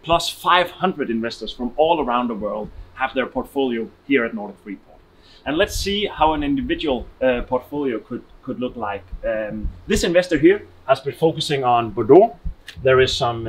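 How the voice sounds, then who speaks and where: A man, answering as an expert in a promotional interview, explanatory and slightly halting. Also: mid-range voice, animated, slightly rough, low-quality recording. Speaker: wine investment expert. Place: large indoor warehouse, walking.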